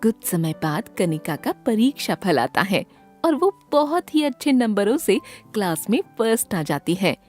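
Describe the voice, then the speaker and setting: Female storyteller, scripted children's tale, expressive swooping pitch, husky tone, soft music behind. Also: unhurried.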